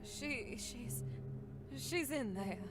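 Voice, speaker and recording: Anxious, hesitant woman for horror game: high, unhurried, expressive, music underneath. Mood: shaken, distressed. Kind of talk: visual novel voice acting.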